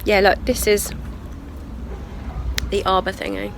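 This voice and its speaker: A woman from the of talks into her handheld camera for a casual video diary. Her voice sits at a middling pitch and sounds stuffed up from a cold. She rambles along in a friendly, chatty way, filling her sentences with "like" and "so yeah". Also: flat delivery, slow pace, background noise. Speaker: vlogger. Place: at home and in the garden.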